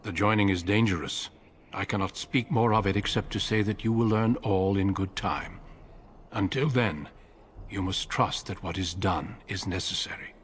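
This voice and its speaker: Man acting a low-voiced, solemn veteran warrior commander. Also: a little hiss or hum, natural voice. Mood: solemn, measured. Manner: measured, formal, solemn. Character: grave seasoned warrior commander, dutiful, wary and courteous. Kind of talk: fantasy video game acting.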